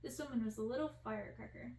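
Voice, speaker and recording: A woman with a fairly high voice reads a storybook for an ASMR audience. The recording is strongly echoey and a little distant, with faint noise behind her. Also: quick pace, darkish tone. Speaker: audiobook-style reader for ASMR channel. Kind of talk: ASMR storybook reading, scripted narration.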